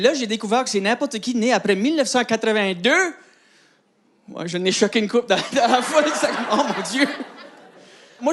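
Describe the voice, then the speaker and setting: A man's voice: extremely high pitch, fairly bright tone, slight background noise. A man in his thirties with an Acadian French accent, performing a stand-up routine in a chatty, self-mocking way and often laughing at his own lines. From New Brunswick, Canada. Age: 30s. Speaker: stand-up comedian. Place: comedy stage before a live audience. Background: faint audience laughter.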